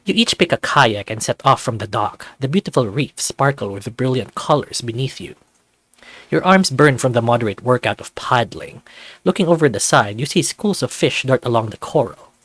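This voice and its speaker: A man reading a visual novel aloud as its narrator, in an expressive storytelling style. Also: mid-range voice, moderate pace, no room sound.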